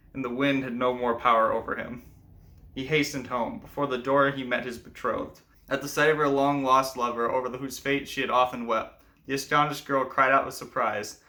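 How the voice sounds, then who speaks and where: Male storyteller-host, mid-range voice with a bright, tense edge, for folklore narration and commentary. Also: medium pitch. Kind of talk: scripted fairy tale narration, then explanatory commentary.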